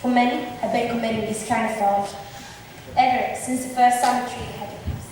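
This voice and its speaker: A woman's voice: on the high side, steady tone, normal speed, darkish tone, natural voice. A woman acting male roles in an amateur school production of a modernized Shakespeare comedy. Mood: reciting. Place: large echoey indoor hall. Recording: very echoey hall from far off, hissy.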